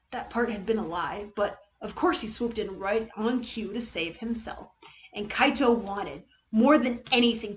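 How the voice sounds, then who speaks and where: A woman reading a story aloud as narrator, with expressive, theatrical delivery. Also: fairly high pitch, lo-fi sound, a little hiss or hum. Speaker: streamer reading fan fiction.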